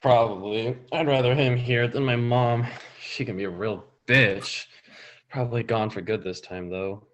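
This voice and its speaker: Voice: medium pitch, fairly even intonation, a little slow, lo-fi sound, close to an everyday voice. A performer reading the part of a sullen, angry teenage boy in a table read over a video call. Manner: curt, resentful.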